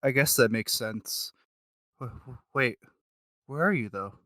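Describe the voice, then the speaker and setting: A man's voice: medium pitch, unhurried, bright, forceful tone, no room sound, clean studio sound. A man co-hosting a podcast in casual chat, self-deprecating and mildly profane. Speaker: podcast co-host.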